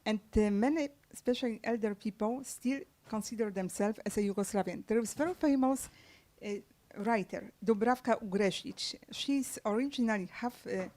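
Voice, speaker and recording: A woman author whose first language is Serbian, answering questions at a book launch in halting speech with many fillers and restarts. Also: on the high side, warm tone. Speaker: author guest. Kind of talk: conversational book-launch interview. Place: press club event room.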